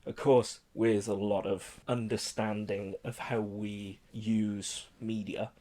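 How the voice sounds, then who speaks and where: A man's voice: on the low side, little pitch movement, slow, warm tone. A man co-hosting a film podcast, chatting casually and jokily while explaining bits of film history. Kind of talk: casual film chat with trivia.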